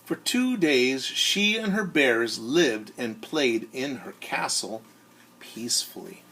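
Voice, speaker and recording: A man who is a teacher reads a storybook aloud to children in an expressive way, often turning to ask his listeners questions. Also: medium pitch, slow pace, low-quality recording. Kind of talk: animated storybook read-aloud with asides to young listeners.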